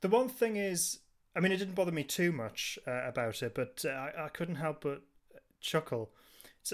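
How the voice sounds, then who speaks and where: A man co-hosting a podcast in casual review chat, rambling haltingly and chuckling as he goes. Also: on the high side. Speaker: podcast co-host.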